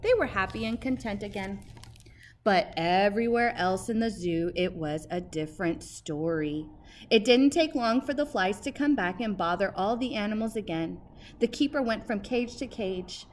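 A woman in her thirties reading a picture book aloud expressively and emphatically, like a teacher, pausing to ask questions. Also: mid-range voice, animated. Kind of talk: expressive children's storybook read-aloud. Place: outdoors by a brick building entrance. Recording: faint outdoor background noise.

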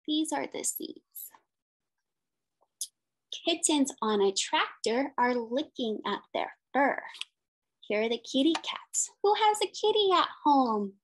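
Slow, high-pitched woman reading to children. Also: lively intonation. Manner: simple, sing-song phrasing with questions and praise, as to young children. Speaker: special education teacher. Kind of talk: interactive picture-book read-aloud lesson for children.

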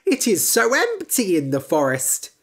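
A woman voicing a curious, picky little male frog who talks brightly. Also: mid-range voice, wildly swooping pitch, a little slow, breathy edge, voice pushed up, heavily acted. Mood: puzzled.

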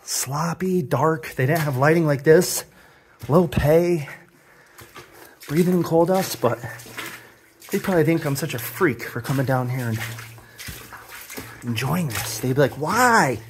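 A man's voice: on the high side, animated, unhurried, pauses often, slight background noise. A man narrating his own exploration of a cramped abandoned mine, enthusiastic and explaining what he sees as he goes.

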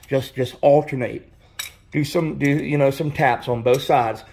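A man's voice: medium pitch, slight background noise. A man explaining a car repair step by step in a casual, rambling way, full of fillers and restarts, recorded in his home garage. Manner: rambling, many restarts and "you know" fillers. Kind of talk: casual how-to walkthrough.

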